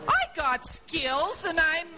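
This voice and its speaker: A woman playing an anxious old office clerk in a live radio drama, with a drawn-out, theatrical delivery. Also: very high voice, very animated, a crowd in the background, full character voice. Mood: worried. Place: theatre stage.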